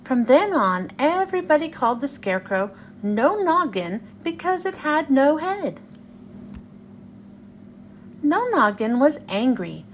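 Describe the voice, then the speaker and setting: High-pitched, animated woman reading a storybook. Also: unhurried, a little hiss or hum. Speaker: host reading children's books aloud. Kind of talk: storybook read-aloud for children.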